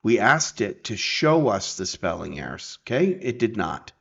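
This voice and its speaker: A man's voice: lowish voice, sing-song, moderate pace, creaky, a bit bright, breathy edge. Man, casual tutorial, slightly dull sound. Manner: informal, explanatory, checks in with "okay" and "right". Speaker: tutorial presenter. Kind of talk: casual screen-share software tutorial.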